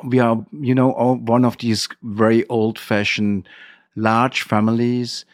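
Man, German accent, halting non-native phrasing, conversational interview guest, mid-range pitch, very little room sound. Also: little pitch movement, dry recording, studio quality.

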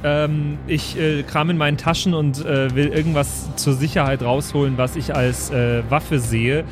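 A man's voice: on the high side, steady tone, moderate pace, music in the background, natural voice. A man playing a cheeky young woman who talks back, in an improvised tabletop audio adventure. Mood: eager.

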